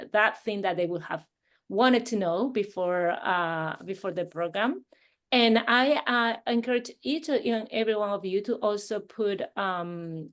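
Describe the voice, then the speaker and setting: Lady dean running an online panel, hesitant, lots of uhs, on a video call. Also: mid-range voice. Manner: hesitant, many uh fillers. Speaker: assistant dean hosting a webinar panel.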